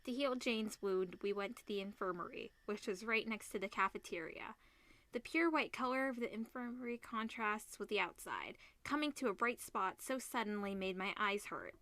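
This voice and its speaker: A woman reading a visual novel aloud as a let's player, expressive, mixing narration with casual reactions. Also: medium pitch, normal speed, no echo. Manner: expressive, switches between narration and character lines.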